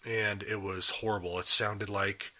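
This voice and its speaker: A man hosting a daily Bible podcast while getting over a cold, his voice still a little raspy, explaining a passage in earnest and putting questions to his listeners. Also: fairly low pitch, little pitch movement, a little slow, pushed and bright. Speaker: podcast host. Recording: live and unedited.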